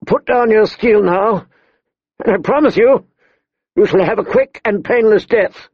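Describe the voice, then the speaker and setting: A man's voice: high-pitched, unhurried, slightly rough, dry recording, lo-fi sound, pitched above the performer's own voice, heavily acted. A man voicing a bold young lord, commanding with some strain, in an audiobook story. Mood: commanding threat.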